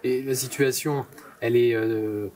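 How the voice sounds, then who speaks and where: A man's voice: medium pitch, fairly even intonation, a little slow, darkish tone, far from the mic. A man asking interview questions conversationally, searching a little for his phrasing, recorded slightly away from the microphone. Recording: off-mic, crowd murmur behind.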